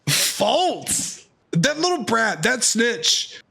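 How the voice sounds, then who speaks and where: A man's voice: very high voice, big pitch swings, extremely slow, gravelly, sharp tone, slight background noise. A man giving live reaction commentary over a story video game, exclamatory and dramatic, with casual slang. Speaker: streamer.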